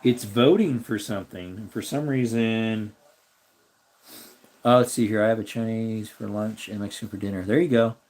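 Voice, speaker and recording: A man with a slightly raspy voice hosts a relaxed live stream. He rambles and thinks aloud as he answers his viewers' comments. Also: lowish voice, moderate pace. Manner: rambling, thinks aloud while looking things up.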